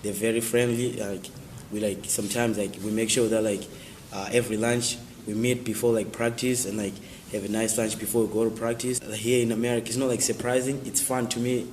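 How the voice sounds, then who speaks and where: A young man with a Zimbabwean accent giving casual, halting interview answers peppered with "like". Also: lowish voice, little pitch movement, darkish tone, big-room sound, a little hiss or hum. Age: young adult. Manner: halting, repeats "like" constantly. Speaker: student athlete interviewee.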